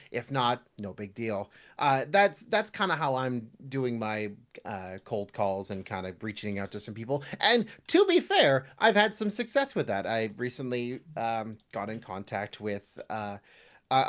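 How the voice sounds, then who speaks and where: A man talks casually as an interview guest about his craft. His mid-pitched voice moves around a lot, giving an animated delivery, and he slips into little acted-out remarks as he explains himself. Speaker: guest voice actor. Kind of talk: casual podcast interview chat.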